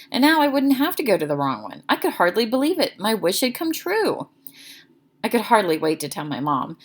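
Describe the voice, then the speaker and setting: A woman reading a children's chapter book aloud as narrator, expressively. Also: medium pitch.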